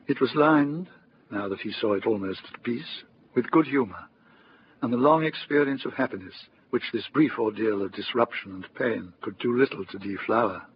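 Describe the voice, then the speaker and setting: A man narrating a radio drama as a calm, literary storyteller, unhurried and lyrical. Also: mid-range voice, lo-fi sound, slight background noise, close to an everyday voice.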